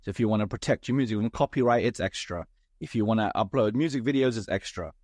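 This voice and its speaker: A man hosting an interview, his voice hoarse and raspy, asking structured, articulate questions. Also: lowish voice, fast, darkish tone, no room sound, clean studio sound. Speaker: podcast host. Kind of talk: interview, conversational.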